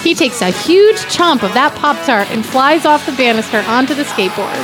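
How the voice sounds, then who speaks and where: A woman's voice: sits high, expressive, moderate pace, over music, rough recording. A woman guest on a comedy podcast, blunt and casual, bantering and reading a script. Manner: blunt, sweary heckling.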